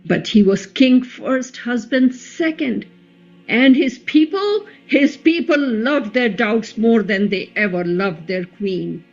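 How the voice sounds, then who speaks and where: Radio play queen, female actor: unhurried, soft-toned, solemn, devoted yet wounded and quietly resolute, slightly dull recording over music. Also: on the high side, animated, heavily acted. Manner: measured, reflective, solemn. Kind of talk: play reading, radio drama.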